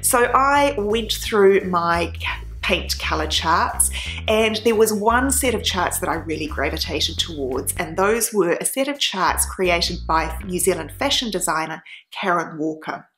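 A woman's voice: fairly low pitch, lively intonation, unhurried, a bit bright. Chatty woman vlogging in an echoey kitchen. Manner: chatty and enthusiastic, explaining step by step. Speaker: home renovation vlogger. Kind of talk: casual to-camera explanation. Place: home kitchen with tiled walls, indoors. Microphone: camera at medium distance, facing her. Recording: roomy kitchen echo with faint background music.

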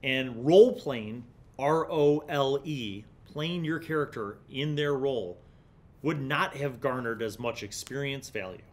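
A man's voice: mid-range voice, a little slow. A middle-aged man with an American accent, talking casually and explaining things with emphatic stresses, as a host reviewing on a studio microphone. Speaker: YouTube host reviewing old gaming magazines. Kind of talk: casual review and reminiscence, partly reading aloud. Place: small indoor studio room with shelves. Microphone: large desktop studio microphone in front of him.

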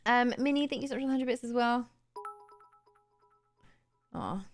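A young woman streaming from her room late at night, tired and a little raspy, chatting casually and thanking viewers on a close studio mic. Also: fairly high pitch, normal speed, soft background music. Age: young adult. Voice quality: slightly raspy today. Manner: chatty, bubbly, laughs easily. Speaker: live streamer. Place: home streaming room, indoors. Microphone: boom studio mic close to mouth.

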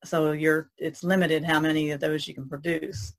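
A woman's voice: low voice, level tone, normal speed. A woman on a podcast panel, explaining things plainly in casual conversation.

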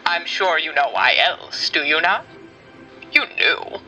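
A man's voice: fairly high pitch, expressive, slow, sharp tone, soft background music, full character voice, rough recording. A man playing a suave, cruel smiling demon. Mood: mocking. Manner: theatrical, mocking, honeyed menace. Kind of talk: fan comic dub.

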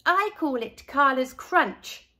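A woman's voice: high voice, expressive, takes it slowly, bright, forceful tone, voice pushed up, exaggerated voice. A woman voicing a cheerful, proud little girl in a storybook who likes being different, speaking emphatically. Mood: proud, friendly.

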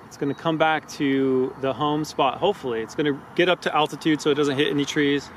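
A man's voice: a little high, steady tone, fairly quick. A man giving casual, upbeat commentary while test-flying a drone outdoors in a park field, thinking aloud as he goes. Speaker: drone reviewer. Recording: outdoor wind and traffic noise.

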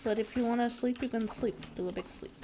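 A young woman streaming a game, chatting casually with her viewers in a nasal voice with vocal fry, giggling often and breaking into excited shrieks. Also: on the high side, fast, music in the background. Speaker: VTuber streamer. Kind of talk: casual gaming stream chat, reading chat aloud.

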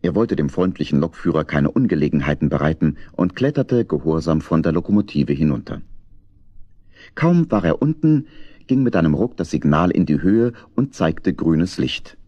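Casting: male narrator for a children's tale, very low voice, lively intonation, dry and slightly muffled recording. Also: moderate pace, no room sound. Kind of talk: expressive scripted storytelling for children.

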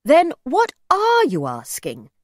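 A woman voicing a stern, suspicious mother in a storybook, curt, sharp and haughty. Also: a little high, huge pitch swings, slow pace, no echo, clean studio sound, exaggerated voice. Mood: sharp, impatient. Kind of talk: storybook character voice.

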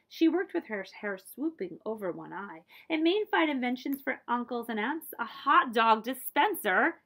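A middle-aged woman reading a picture book aloud in an expressive, deliberate storytelling manner for children. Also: a little high. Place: small room with bookshelves, indoors.

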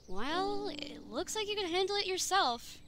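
High-pitched, friendly college girl, acted by a woman. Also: sing-song, a little slow, fairly bright tone, a little hiss or hum, full character voice. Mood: friendly, teasing. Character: friendly, assertive young female college student. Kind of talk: comic dub character acting. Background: music.

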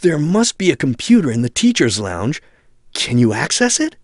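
An actor playing a helpful, concerned friend giving earnest hints in an adventure game. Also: male voice, on the high side, huge pitch swings, normal speed, no echo, studio quality. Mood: earnest, advising. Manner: earnest, advising. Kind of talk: adventure game hint dialogue.

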